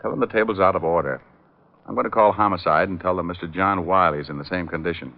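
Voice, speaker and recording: An actor playing a glib, flirtatious private detective who narrates his case with deadpan quips in vintage radio style. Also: sits low, fast talker, gravelly. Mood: brisk, calm. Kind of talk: 1940s-50s radio detective acting, hardboiled narration. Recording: muffled old-time radio recording with music.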